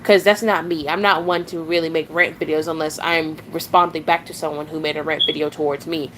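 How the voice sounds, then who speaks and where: A woman's voice: deep voice, fairly even intonation, brisk, noisy background. A nineteen-year-old woman streaming live, rambling casually, chatting with viewers and often giggling and restarting her sentences.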